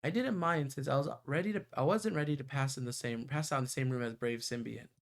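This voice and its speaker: A man's voice: mid-range voice, fast. A man streaming a visual novel, reading its narration aloud and reacting live. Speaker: game streamer. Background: game music.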